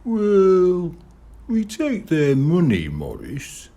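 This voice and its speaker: Reader guy doing a unsure kid, slow careful words, bit husky. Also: high voice, huge pitch swings, dark tone, pitched above the performer's own voice, heavily acted. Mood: hesitant. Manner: careful, hesitant. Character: hesitant, doubtful young boy.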